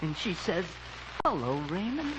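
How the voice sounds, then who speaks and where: A man's voice: high voice, sing-song, unhurried. An actor playing a glum, naive, lovesick young man in an old-time radio comedy, earnest and plaintive. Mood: upset, plaintive. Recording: muffled, noisy old-time radio recording.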